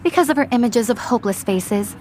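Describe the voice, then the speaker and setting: A woman's voice: on the high side, lively intonation, fairly quick, a little mellow, faint music behind, close to an everyday voice. A woman playing a haughty, eager teenage art student in a video game, speaking in an affected, opinionated way. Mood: self-assured.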